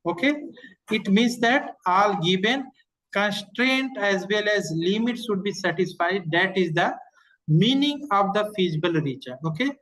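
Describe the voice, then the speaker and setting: Halting online instructor explaining step by step. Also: medium pitch, lively intonation, fairly bright tone, poor audio. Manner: halting, repetitive, with frequent verbal tags. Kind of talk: live online technical lecture answering questions.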